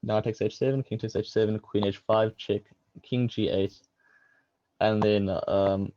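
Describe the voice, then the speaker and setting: Low, mellow man analyzing chess. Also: fairly even intonation, measured pace, dry recording, lo-fi sound. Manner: thoughtful, works through move lines aloud. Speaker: chess commentator. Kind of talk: chess commentary, calculating variations.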